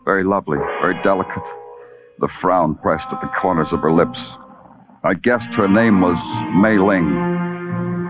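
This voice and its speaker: Low-voiced hard-boiled detective, radio noir. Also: male voice. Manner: dry, laconic, moody narration. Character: hard-boiled, terse New York police detective. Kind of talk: 1950s radio noir narration and acting. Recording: muffled old radio recording.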